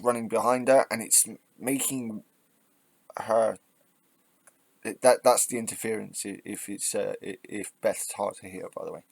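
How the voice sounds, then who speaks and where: A man hosting a reaction video, giving off-the-cuff political commentary in a halting, sweary, ranting way. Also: on the low side, steady tone, creaky.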